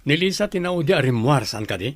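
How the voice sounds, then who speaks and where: A man's voice: medium pitch, very animated, quick pace, dry recording. A man playing a teasing, gossipy village buddy in an Ilocano radio comedy-drama.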